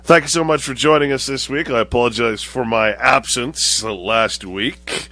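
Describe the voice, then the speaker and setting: A man with a cold-thickened voice, hosting a show and lecturing deliberately to his viewers, often rephrasing as he goes. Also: medium pitch, bright, forceful tone, no room sound. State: voice lowered and congested, recovering from chest cold. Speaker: show host. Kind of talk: monologue to viewers, political and religious commentary.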